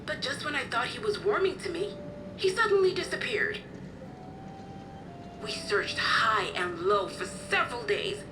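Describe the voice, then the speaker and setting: A woman's voice: on the high side, sing-song, unhurried, pauses often, music in the background. A kindly motherly guardian, expressively lilting. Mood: earnest, explaining. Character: kindly motherly woman, caring guardian of an orphaned boy. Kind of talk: video game cutscene acting.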